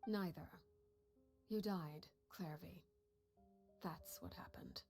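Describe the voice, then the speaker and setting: A woman's voice: on the low side, full character voice. A cold, commanding Father figure. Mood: grave. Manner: calm, measured, grave delivery with frequent hushed whispers. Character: cold, commanding woman known as Father, head of the house. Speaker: stern head of an orphan household. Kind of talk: game cutscene voice acting. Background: game music score.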